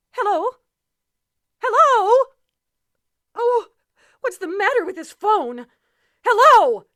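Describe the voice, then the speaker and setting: A bedridden woman in an old-time radio thriller. Her voice is extremely high and swings widely in pitch. She is nervous, demanding and self-pitying, speaking in fretful, halting bursts. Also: sharp tone, heavily acted. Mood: frantic, desperate. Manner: fretful, imperious, breathless halting phrasing. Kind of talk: 1940s radio thriller acting.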